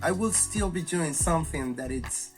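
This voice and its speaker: A man with a raspy, flu-hoarse voice hosts a candid, thoughtful educational monologue. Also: fairly high pitch, lively intonation, faint music behind. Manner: thoughtful, candid, slow and searching. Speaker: video host.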